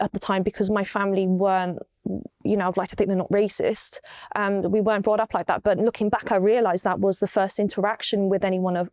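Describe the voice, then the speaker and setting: A young woman from Wales with an English accent, unhurried and full of ums as she tells her story in an interview. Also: mid-range voice, a little mellow, clean tone, no room sound, studio quality. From Wales, raised in East London. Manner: unhurried, many ums and restarts. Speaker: guest.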